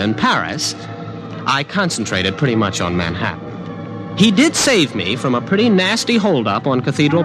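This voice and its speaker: A man's voice: medium pitch, close to an everyday voice, low-quality recording. A man playing a wry, skeptical college student who also narrates, in a 1950s radio drama. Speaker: narrator and lead. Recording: studio with clear background music.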